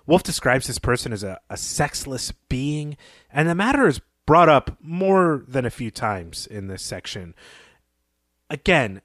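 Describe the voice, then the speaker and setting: A man co-hosting a podcast, discussing fiction conversationally in a measured, analytical manner. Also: mid-range voice, fairly bright tone, no echo, clean studio sound. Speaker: podcast co-host.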